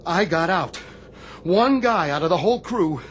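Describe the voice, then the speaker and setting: An actor playing a tormented older sailor haunted by guilt, speaking in an anguished, halting way in a radio drama. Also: male voice, high voice, animated, a bit bright. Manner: anguished, halting, repeating himself. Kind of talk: dramatic radio theatre acting. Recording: faint background noise.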